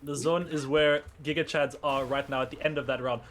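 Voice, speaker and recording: Rapid-fire male esports caster. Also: medium pitch, fairly even intonation, fairly bright tone. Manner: fast, playful, slangy banter. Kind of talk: live play-by-play commentary.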